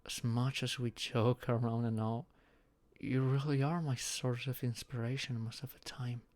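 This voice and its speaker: A young man playing a shy, anxious friend in an intimate audio roleplay, speaking haltingly with sighs and murmurs. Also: mid-range voice, level tone, darkish tone, dry recording, close to an everyday voice. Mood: sheepish, tender. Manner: halting, sighing, breathy murmurs rising to tearful outbursts.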